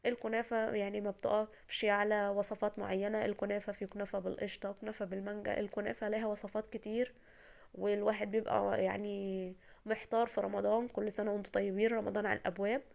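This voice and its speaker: Fast-talking Egyptian woman with a cold. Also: medium pitch, level tone, creaky, a little mellow. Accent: Egyptian Arabic. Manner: chatty, reassuring, addresses the viewer directly. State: tired voice from a cold. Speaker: home cooking video host. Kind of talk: casual step-by-step cooking tutorial.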